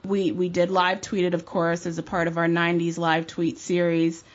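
Low-voiced woman co-host asking questions. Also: monotone, normal speed, muffled recording. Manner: engaged, with small restarts. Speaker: podcast co-host. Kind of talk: interview questions.

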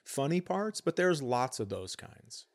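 A middle-aged man with a medium-pitched voice in casual comedic chat. He sounds conversational, chipping in and telling anecdotes with loose, sweary phrasing. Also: big pitch swings, measured pace, dry recording, studio quality. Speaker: comedy podcast co-host.

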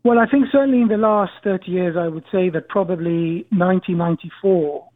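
A man, a guest professor answering interview questions in a measured way, pausing often with "uh". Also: sits high, no room sound. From Israel. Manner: measured, frequent "uh" pauses. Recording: tinny phone-like remote line.

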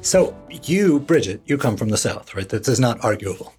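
A man's voice: medium pitch, big pitch swings, normal speed, over music. A man from Washington, D.C. co-hosting a podcast, casual and wry, asking questions and laughing easily. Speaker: podcast co-host. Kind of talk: casual chat.

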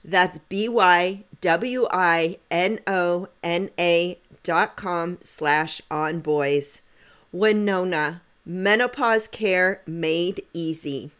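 A woman co-hosting a podcast, reading a sponsor message with slow, deliberate delivery. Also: fairly low pitch, fairly bright tone, clean tone. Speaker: podcast co-host. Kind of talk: reading an ad read.

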